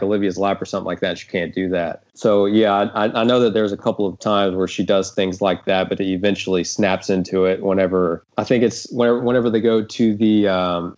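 A man's voice: deep voice, darkish tone. A man hosting a casual podcast discussion, talking in a rambling, hesitant way. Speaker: podcast host, writer. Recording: dull, lo-fi audio.

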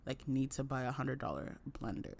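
A man's voice: medium pitch, lively intonation, normal speed, gravelly, no room sound. A young man co-hosting a podcast from home, chatting casually and enthusiastically with plenty of filler words. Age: young adult. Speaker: podcast co-host. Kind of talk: casual chat.

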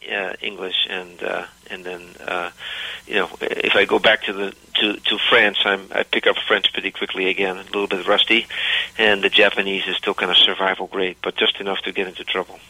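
A middle-aged man with a slight Dutch accent, a company founder answering interview questions in a measured way with many fillers. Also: deep voice, flat delivery, bright, forceful tone, no room sound, low-quality recording. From United States. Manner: measured, filler-heavy storytelling.

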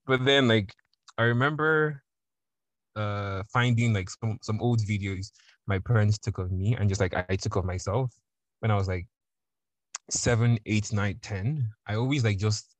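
A young Nigerian man with a fairly low voice, chatting casually on a podcast. He tells his story in an animated way and slips into mock voices for other people. The sound is close and dry, with no room around it. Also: dry recording, low-quality recording. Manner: animated, acts out voices in quotes. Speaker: podcast guest.

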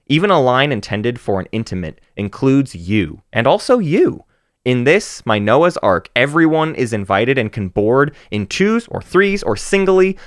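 A man co-hosting a casual book podcast, talking about and reading poetry with animated emphasis and halting restarts. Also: mid-range voice, no echo, studio quality. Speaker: podcast co-host.

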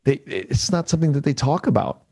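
A man hosting a casual interview podcast, speaking earnestly and haltingly as he searches for his words. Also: fairly high pitch, lively intonation, a little mellow, slightly rough. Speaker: podcast host.

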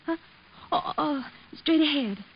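A high-voiced, flustered receptionist. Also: female voice, takes it slowly. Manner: hesitant, flustered. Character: flustered, polite young female receptionist. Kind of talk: 1950s radio drama acting. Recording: muffled, noisy old radio recording.

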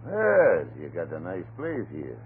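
An actor playing a chatty middle-aged police sergeant and neighbor in a 1930s radio drama, talking in a folksy, garrulous way. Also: male voice, sits low, huge pitch swings, a little slow, gravelly, heavily acted. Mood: chatty, neighborly. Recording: scratchy, hissy, narrow-band 1930s radio recording.

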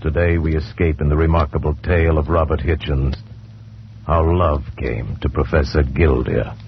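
A man acting as an ominous announcer of suspense tales on 1940s radio, dramatic and deliberate. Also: a bass voice, steady tone, a little hiss or hum. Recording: muffled 1940s radio recording.

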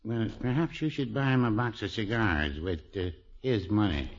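A mild old small-town fellow in a 1950s radio comedy. His low-pitched voice is hesitant, folksy and drily deadpan. The old recording sounds muffled. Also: normal speed. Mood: dry.

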